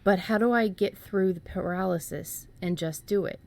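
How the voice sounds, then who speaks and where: A woman's voice: on the low side, normal speed, a little mellow. A woman speaking as a podcast host, chatting casually and reading out listener questions.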